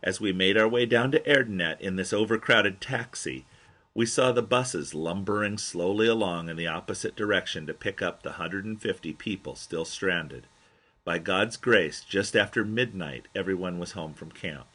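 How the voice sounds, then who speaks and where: A man narrates a memoir in a fairly low, husky voice with a bright, forceful edge. He reads as the author of the book, an expressive storyteller who gives quoted dialogue its own delivery. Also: moderate pace.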